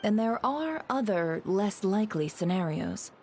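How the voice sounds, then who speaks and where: A woman playing a shrewd, composed young strategist in a video game, speaking in a measured, articulate and formal manner. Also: medium pitch, animated, a little mellow, slight background noise. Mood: deliberate. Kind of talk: video game dialogue acting.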